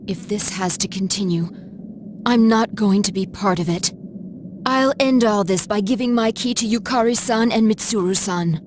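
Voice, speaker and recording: An actress playing a gentle but resolute older sister in an English anime-style video game, speaking in a measured, earnest, formal way. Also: female voice, medium pitch, noisy background, close to an everyday voice.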